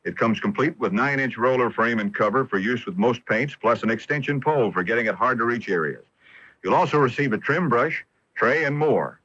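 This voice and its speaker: A man's voice: mid-range voice, expressive, brisk, sharp tone, husky, no room sound. A man reading a scripted retail commercial as a radio announcer. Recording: dull AM radio broadcast tape.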